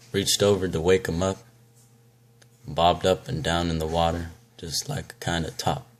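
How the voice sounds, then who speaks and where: A man performing a film monologue as a grim, weathered old sailor, telling his story deliberately with pauses. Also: low voice. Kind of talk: dramatic film monologue recitation.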